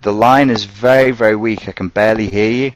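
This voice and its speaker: A man with a very low voice and a softened Scouse accent hosts a live question-and-answer stream. He talks in a loose, conversational way, thinking aloud as he goes. His voice sits close and dry, with no room around it. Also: steady tone, fairly quick, no echo, low-quality recording. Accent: toned-down Scouse. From Merseyside, England. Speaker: host answering viewer questions.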